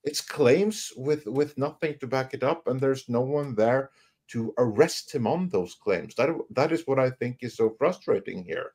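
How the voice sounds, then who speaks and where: A man giving emphatic, critical commentary on a live panel, often halting and repeating words as he goes. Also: mid-range voice, a little mellow.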